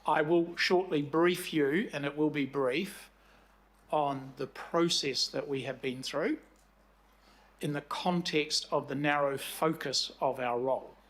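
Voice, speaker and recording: A man in his sixties with a New Zealand accent, presenting to a council committee as a commission chair in a measured way, with frequent fillers. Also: on the high side, fairly bright tone. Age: 60s.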